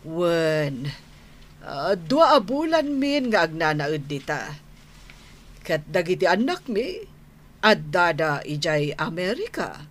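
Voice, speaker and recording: A genial older neighbour in a radio drama. Her voice sits fairly low and she talks without hurry, pausing as she goes. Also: very animated, full character voice. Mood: friendly. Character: genial older neighbour woman, addressed as auntie. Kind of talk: Ilocano radio drama acting.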